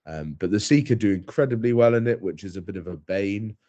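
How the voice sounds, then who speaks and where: A man's voice: lowish voice, fairly quick, dark tone, lo-fi sound. A young man, a guest on a podcast, talking casually in a thoughtful, hesitant way with many fillers and restarts. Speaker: head stalker, guest.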